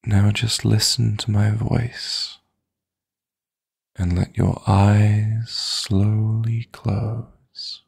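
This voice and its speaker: A man playing a possessive, teasing dream demon lover in intimate sleep-aid roleplay audio, coaxing softly and deliberately in a gravelly, breathy voice. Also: fairly low pitch, heavily acted. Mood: soothing, hushed.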